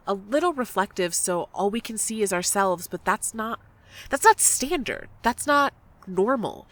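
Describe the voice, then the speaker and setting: A woman playing an anxious, suspicious, sharp-tongued young woman in an audio drama, blunt and pressing. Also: medium pitch.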